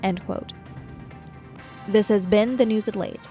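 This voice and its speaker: A woman's voice: medium pitch, steady tone, a little slow, lo-fi sound, faint music behind, close to an everyday voice. A woman playing a wry, playful small-town late-night radio host in a scripted monologue, chatty and dryly self-deprecating. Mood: mock-serious. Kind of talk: scripted radio show monologue, audio roleplay.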